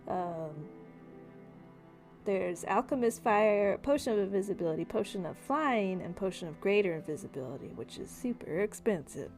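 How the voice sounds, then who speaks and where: A woman's voice: medium pitch, lively intonation, normal speed, darkish tone. A woman talking casually as game master in a tabletop roleplaying session, with music behind her.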